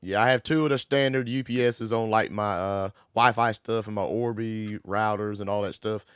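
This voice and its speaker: A man hosting a casual livestream chat, voice hoarse from a cold, talking in a relaxed, rambling way. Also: on the low side, moderate pace, no room sound, studio quality. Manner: relaxed, rambling, Southern-inflected "y'all". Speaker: livestream host.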